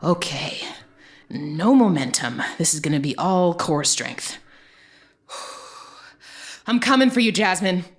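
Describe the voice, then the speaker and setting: An actress playing a tough, determined action heroine in an audio drama, with a gritty, blunt and defiant manner. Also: female voice, fairly low pitch, animated, slow pace, long pauses, dry recording.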